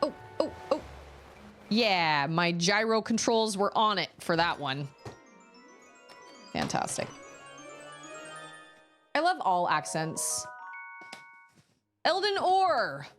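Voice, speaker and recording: A woman's voice: medium pitch, big pitch swings, slow pace, pauses often, a bit bright, faint music behind. A woman in her thirties chatting casually and rambling while she plays a video game, often breaking off to exclaim at the screen. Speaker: streamer.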